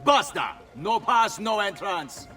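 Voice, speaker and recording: An actor playing a gruff, officious Venetian gatekeeper in a video game, loud and bossy, with an Italian accent. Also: male voice, sits high, big pitch swings, slow pace, soft background music, exaggerated voice. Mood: stern, indignant.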